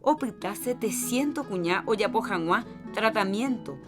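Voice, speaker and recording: A Paraguayan woman presents a radio health programme in a medium-pitched voice. She reads from a script, with guitar music clearly playing behind her. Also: expressive, normal speed. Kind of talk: scripted health announcement in Jopara.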